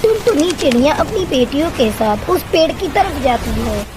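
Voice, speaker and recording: A woman's voice: sits high, sing-song, normal speed. A woman reading scripted narration for a children's story. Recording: clearly noisy background.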